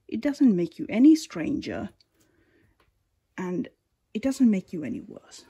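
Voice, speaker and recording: Finnish woman, slow soft half-whispered tour, raspy creaky voice, lots of ums. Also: medium pitch, sing-song. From Finland. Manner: soft, often whispery, unhurried, many ums. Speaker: collector giving a tour. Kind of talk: casual narrated collection tour. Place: indoors at display cabinets at home.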